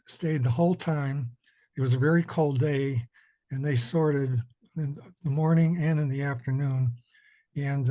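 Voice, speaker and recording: A middle-aged man giving a committee report in a virtual meeting, soft-spoken and halting, with many fillers. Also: medium pitch.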